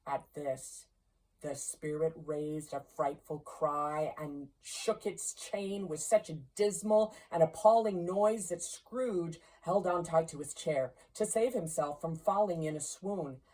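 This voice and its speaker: A woman reading a classic story aloud in an expressive literary narration style. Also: low voice, takes it slowly, far from the mic, poor audio. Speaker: story reader.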